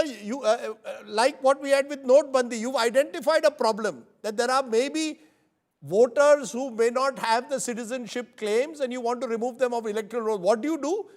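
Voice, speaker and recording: A man moderates a televised panel debate with a notably high voice. He presses his guests with pointed, challenging questions. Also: fairly quick, a little mellow. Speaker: news anchor.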